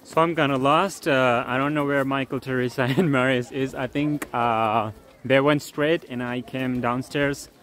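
A man's voice: mid-range voice, steady tone, normal speed. Man, travel vlogger, chatty and hesitant, handheld camera, outdoors, crowd chatter behind. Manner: chatty, hesitant, many fillers. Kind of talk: casual walking tour narration. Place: outdoors at a historic site.

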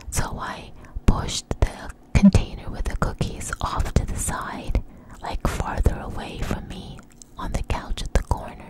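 A woman whispering a personal story in ASMR style, breathy and unhurried, close to the microphone, often halting and repeating phrases. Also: rough recording. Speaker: ASMR host.

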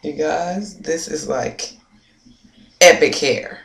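A woman's voice: low voice, takes it slowly, vocal fry. A young woman chatting casually to a webcam about her hair, playful and amused, sometimes dropping to a whisper. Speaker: hair vlogger. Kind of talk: casual vlog chat. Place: small indoor room. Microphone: webcam at close range. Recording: echoey room with faint noise.

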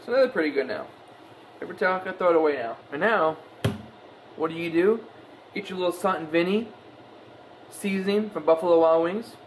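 A man's voice: high voice, lively intonation, fairly quick, pauses often, a little hiss or hum, poor audio. A young man hosting a joking cooking tutorial in his home kitchen, talking offhand to the camera while he works. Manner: playful, offhand, talks to camera while working. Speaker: home cook host. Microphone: camera mic, wide-angle camera on counter.

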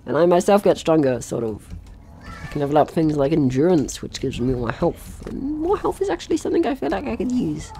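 A man's voice: on the high side, very animated, fairly quick, vocal fry, warm tone, a little hiss or hum. A man giving casual let's play commentary, chatty and jokey, talking to himself and the game's enemies. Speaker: gaming channel host.

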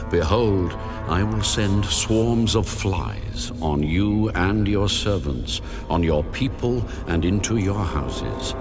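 An actor playing a solemn, commanding God in a dramatized scripture reading, slow and weighty in delivery. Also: male voice, low voice, full character voice.

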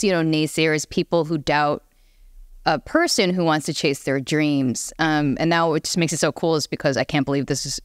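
A woman's voice: deep voice, smooth, dry recording, studio quality. A woman speaking earnestly and emphatically as a panelist in a casual chat. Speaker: podcast panelist discussing films and games.

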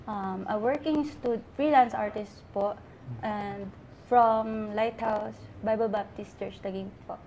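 A woman's voice: mid-range voice, fairly even intonation, slow pace, a little mellow, slight background noise, rough recording. A young woman guest introducing herself on a talk show, shy and polite. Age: young adult. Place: small TV studio set. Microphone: lapel mic.

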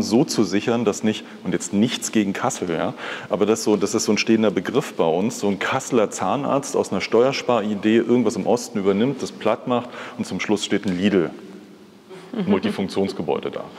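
A man's voice: fairly low pitch, darkish tone. A man in his fifties from East Germany, telling personal stories conversationally as a guest in a talk. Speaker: interview guest, art patron. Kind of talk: reflective personal storytelling in a live talk. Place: large glass-fronted indoor hall. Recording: reverberant hall.

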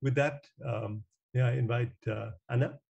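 A male moderator speaks on a video call with a husky, mid-pitched voice. He introduces things semi-formally and haltingly, pausing often to search for the next word. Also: fairly even intonation, a little mellow, dull audio. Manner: halting, searching for words, frequent hesitations. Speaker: panel moderator. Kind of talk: semi-formal spoken introductions at a virtual meeting. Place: indoor room on a video call. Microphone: video call microphone.

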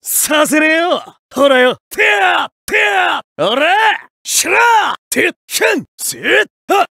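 Brash young samurai fighter: high, animated, rough street swagger, clean dry booth. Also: male voice, slow, no room sound, raised voice, exaggerated voice. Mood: fierce battle cries. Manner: cocky, rough Edo-style street talk. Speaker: game character voice. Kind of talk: fighting game voice clips. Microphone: dry studio mic.

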